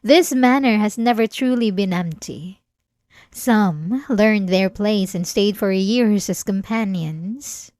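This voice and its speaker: A woman's voice: mid-range voice, takes it slowly, darkish tone, no echo, studio quality, natural voice. A woman playing an obsessive, possessive centuries-old vampire in an ASMR roleplay, speaking in a theatrical, silky, menacingly tender way. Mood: sinister, wistful.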